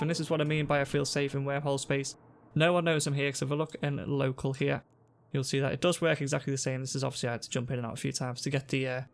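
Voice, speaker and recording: A young man with a sore throat and a congested voice, calmly explaining a game to viewers on a headset mic. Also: mid-range voice, background noise. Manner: relaxed, explanatory, talks to viewers. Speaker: gaming youtuber. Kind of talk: casual gameplay tutorial. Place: home room at a desk.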